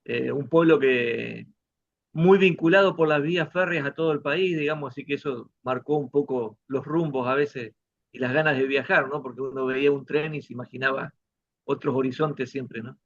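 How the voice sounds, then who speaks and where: A man from Santa Fe, Argentina, with an Argentine accent, speaking as a musician guest in an interview, reflective and unhurried. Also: mid-range voice, vocal fry. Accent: Argentine Spanish. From Santa Fe province, Argentina. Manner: reflective, unhurried, tag questions. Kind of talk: relaxed interview conversation. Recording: dull lo-fi audio.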